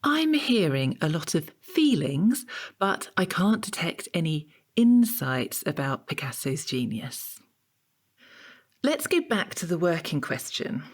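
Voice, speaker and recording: Pompous female interrogator, formal and prompting, lower register, very varied intonation. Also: very animated, slow pace, full character voice. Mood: probing. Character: probing, pompous female art-history interrogator keeping things on task. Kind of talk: audio drama dialogue.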